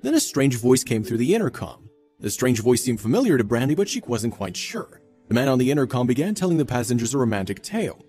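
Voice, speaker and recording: A male narrator delivers a scripted viral story at a brisk clip. His tone is mellow and soft-edged, sitting at a medium pitch, with faint music behind him. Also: sing-song. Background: faint background music.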